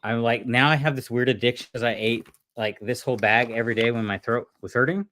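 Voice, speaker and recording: A man chatting casually as a podcast co-host, easygoing and quick to agree. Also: medium pitch, fairly even intonation, a bit bright, slight background noise. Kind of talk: casual chat.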